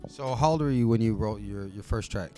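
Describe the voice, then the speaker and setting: A man's voice: lowish voice, a little fast, dark tone, soft background music. A man hosting a casual studio interview, upbeat and slangy like a hype man. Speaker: host.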